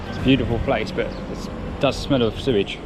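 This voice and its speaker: A man's voice: medium pitch, steady tone, brisk, loud music behind the voice. A man chatting casually and off the cuff as a travel vlogger. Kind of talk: casual off-the-cuff vlog chat. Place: outdoors at windy coastal spots. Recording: very noisy outdoor wind and ambience.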